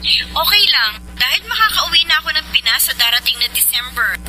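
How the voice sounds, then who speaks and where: A woman's voice: sits high, animated, a little fast, sharp tone, heavily acted. An actress playing a teasing young woman working abroad and eager to come home, in Filipino radio soap style. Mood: playful, upbeat. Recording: noisy radio drama with vehicle ambience.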